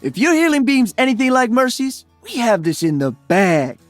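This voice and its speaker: Upbeat cocky young dj hero in a game, breezy slang, super animated, music under it. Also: male voice, very high-pitched, moderate pace, no room sound. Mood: upbeat, friendly. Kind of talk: video game character voice lines.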